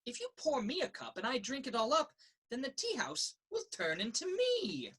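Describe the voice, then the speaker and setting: A woman reads a children's picture book aloud. Her pitch moves in a lively, expressive way through a sing-song rhythm. The voice has a husky, slightly rough edge. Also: normal speed. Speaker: story reader. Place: indoors in a children's library.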